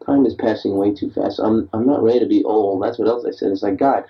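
Tipsy man in his thirties, rambling, self-deprecating, husky, dull off-mic answering-machine tape. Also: lowish voice, a little fast, far from the mic. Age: 30s. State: tipsy after beers. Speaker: diarist recording himself. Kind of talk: rambling late-night voice memo. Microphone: answering machine recorder.

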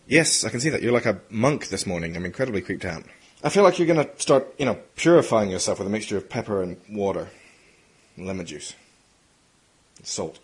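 A man's voice: lowish voice, very animated, fairly quick, slightly rough. A man playing a brash, sardonic male intelligence chief in an audio drama, bossy and teasing. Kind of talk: hobby audio drama acting.

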